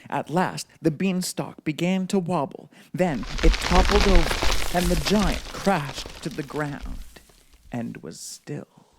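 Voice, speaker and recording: Slow-paced man reading a bedtime story. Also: fairly high pitch, background noise. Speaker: storybook reader.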